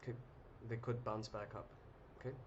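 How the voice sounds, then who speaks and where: A young man hosting a live trading stream, chatting casually with viewers in a relaxed, rambling way with many ums and restarts. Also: fairly low pitch, normal speed. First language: English. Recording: muffled lo-fi audio with clear noise.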